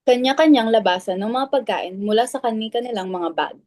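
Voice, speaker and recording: A woman's voice: on the high side, brisk, a little mellow, no room sound. Filipino woman narrator, close and dry. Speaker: narrator reading stage directions. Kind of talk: scripted narration in a dramatic reading.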